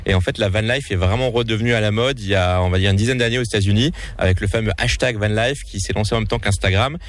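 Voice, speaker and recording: A man with a low voice answers questions as a guest filmmaker. He explains earnestly and often restarts mid-sentence to find the right words. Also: fast talker.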